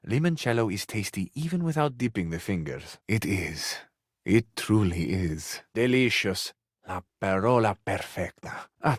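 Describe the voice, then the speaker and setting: Flamboyant Italian romantic, close and dry. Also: male voice, lowish voice, no room sound. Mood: tender, apologetic, then adoring, earnest. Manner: florid, amorous, savoring phrases with dramatic swells and hushes. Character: flamboyant, hopelessly romantic Italian male lover and charmer. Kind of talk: theatrical video game character acting.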